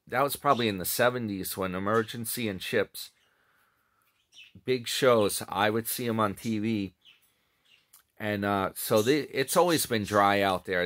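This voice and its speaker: Middle-aged male vlogger, lowish voice, chatty and wandering, close webcam sound. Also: a bit bright. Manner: rambling, self-correcting, chatty. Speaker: commentary vlogger. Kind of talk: casual commentary on another channel's videos. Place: small room at home. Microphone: webcam or phone close up.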